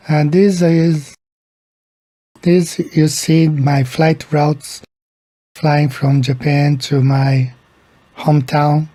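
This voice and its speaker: Slow, husky-voiced Brazilian-born man. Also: mid-range voice, warm tone, no room sound. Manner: slow, halting, repeats words. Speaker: video creator. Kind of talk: casual explainer monologue.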